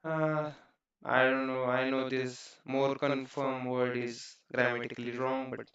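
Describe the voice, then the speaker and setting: Gravelly Indian man, roomy lo-fi sound. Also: medium pitch, little pitch movement, a bit bright. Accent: Indian English. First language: not English. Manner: halting, many fillers and restarts. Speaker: trading streamer. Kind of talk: casual talk while studying charts. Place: home.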